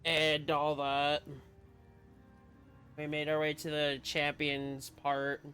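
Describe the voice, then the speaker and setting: A male game streamer with a bright, slightly high voice. He comments casually and unhurriedly, musing aloud in a laid-back way. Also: completely flat delivery, takes it slowly, faint music behind.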